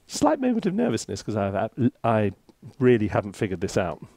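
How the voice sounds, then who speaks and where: A man's voice: lowish voice, wildly swooping pitch, moderate pace, creaky, mellow, no room sound, soft background music. A British man presenting a casual, chatty tutorial with jokey, theatrical asides, often interrupting himself. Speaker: composer reviewing music software, presenter.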